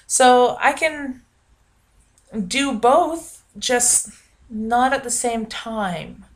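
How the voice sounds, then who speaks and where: Young adult woman, casual vlogger; loud, projecting, self-deprecating ramble. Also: on the high side, extremely slow. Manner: rambling, self-mocking, lots of ums. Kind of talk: casual vlog chat.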